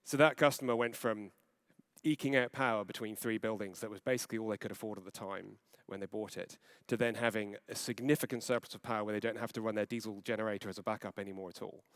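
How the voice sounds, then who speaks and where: A man giving a conference presentation, earnest and steady with occasional fillers and self-corrections. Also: lowish voice, fast, no echo. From Falkland Islands. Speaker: conference panel speaker.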